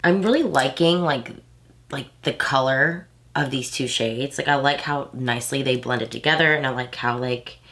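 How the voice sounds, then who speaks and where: A woman's voice: a bass voice, moderate pace, fairly bright tone. A woman in her thirties with a stuffy, nasal voice, chatting casually as she does a makeup tutorial for her channel. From Houston, Texas. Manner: chatty, rambling asides with many fillers. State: stuffy nose from allergies. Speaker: beauty vlogger.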